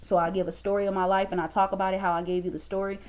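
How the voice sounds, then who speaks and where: A woman's voice: medium pitch, flat delivery, rapid-fire, darkish tone, poor audio. A woman in her twenties giving an emphatic, preachy motivational talk to a webcam in a small room, repeating lines for stress. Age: 20s. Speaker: motivational vlogger.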